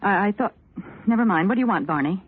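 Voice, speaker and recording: An actress playing a scheming, guarded wife in a 1940s radio drama, haughty and defiant. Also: female voice, medium pitch, lively intonation, fast, husky. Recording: thin, phone-like old radio recording.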